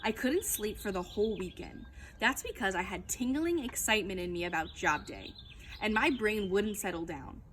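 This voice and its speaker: A woman reading a children's book aloud as a storytime reader, in an expressive, emphatic storytelling manner. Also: mid-range voice, normal speed, slight background noise.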